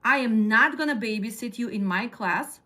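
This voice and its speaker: A woman's voice: fairly high pitch, normal speed, bright, forceful tone. A middle-aged woman with a Ukrainian accent, lecturing a class on trucking costs in a direct way and putting questions to her students. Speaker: dispatch trainer.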